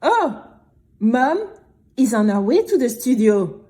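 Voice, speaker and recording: A woman playing a brave young girl who tells her own story in an expressive, exclamatory way for a children's audio drama. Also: fairly high pitch. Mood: urgent realisation. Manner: expressive, exclamatory, with drawn-out emphatic words.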